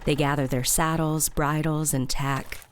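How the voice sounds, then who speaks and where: A woman reading scripted audio drama narration in a measured, matter-of-fact way. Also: sits low, little pitch movement, a little hiss or hum.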